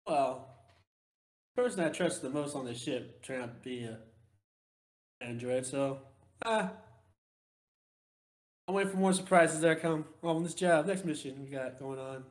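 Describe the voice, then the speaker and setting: A man playing a stressed, apologetic female spaceship crew member in a tabletop roleplay, speaking haltingly, in a roomy recording. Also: fairly high pitch, natural voice. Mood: weary, wry. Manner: halting, hesitant.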